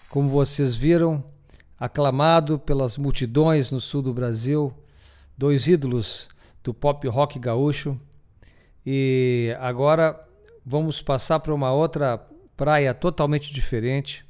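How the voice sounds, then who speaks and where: A man with a southern Brazilian accent talks as a host introducing music. His delivery is conversational and explanatory, like someone telling stories, with a mid-pitched voice. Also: a little mellow. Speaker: radio host and musician presenting songs.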